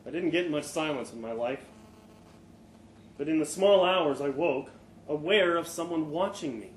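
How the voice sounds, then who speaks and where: A man giving an expressive live reading of a first-person short story, with dramatic pauses and the odd stumble. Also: fairly high pitch. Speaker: story reader. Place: live storytelling event in a pub room. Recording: echoey live venue, faint background noise.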